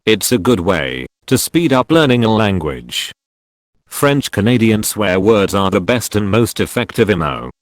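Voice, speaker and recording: Low, lively male forum-story narrator. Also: measured pace, dry recording, studio quality. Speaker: narrator reading forum posts. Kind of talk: scripted narration of online comments.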